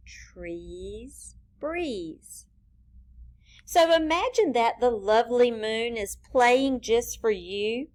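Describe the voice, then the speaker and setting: A woman reading a picture book expressively to young children, with deliberate teacherly phrasing and asides to the listeners. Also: on the high side. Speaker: children's read-aloud reader pointing out rhyming words.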